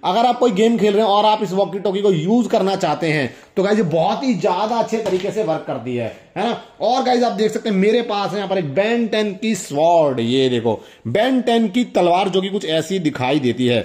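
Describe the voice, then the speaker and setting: A man's voice: high voice, animated, moderate pace, hardly any pauses, darkish tone. A young man, chatting casually to viewers as he hosts a hands-on toy unboxing. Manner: chatty, demonstrative, often addresses viewers. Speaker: toy review host.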